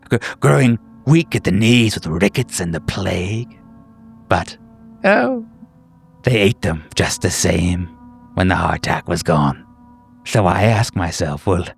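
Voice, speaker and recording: Man playing a gravelly, sinister, whimsical doctor. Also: on the low side, full character voice. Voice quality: gravelly, husky. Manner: rambling, halting, theatrically polite. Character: sinister, whimsical male doctor, musing and menacing. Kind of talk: improvised horror role-play.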